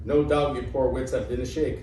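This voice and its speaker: A man's voice: mid-range voice, fairly even intonation, brisk, dark tone, natural voice. A man acting a crafty, mocking old Greek father in an amateur reading of a classical comedy, pompous and theatrical. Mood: scornful, pompous. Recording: echoey room, distant mic, faint noise.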